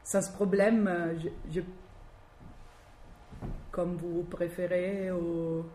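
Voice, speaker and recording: A woman from Italy speaking with a strong Italian accent, giving an academic talk and often pausing to search for words. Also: lowish voice, level tone, warm tone. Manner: halting, searching for words with many fillers. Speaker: guest lecturer. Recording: echoey room, faint background noise.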